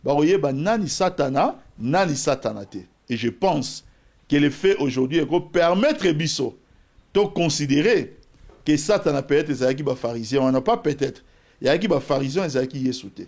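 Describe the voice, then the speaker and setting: Animated Congolese man arguing politics. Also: on the high side, normal speed. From DR Congo, Bas-Congo. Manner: animated, argumentative, cites scripture. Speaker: political guest. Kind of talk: televised political debate.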